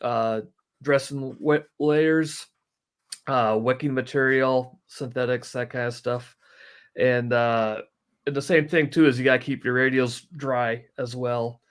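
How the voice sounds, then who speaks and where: A man's voice: mid-range voice, fairly even intonation, measured pace, smooth. A man giving casual, practical explanations as a guest, in a matter-of-fact, step-by-step way. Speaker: guest, hobbyist sharing practical tips.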